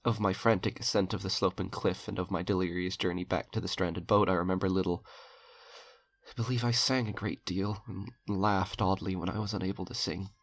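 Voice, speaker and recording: A man's voice: deep voice, fairly even intonation, brisk. A man narrating an eerie short story in a dramatic audiobook style, as a storyteller reading aloud. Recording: music under the voice. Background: eerie background music.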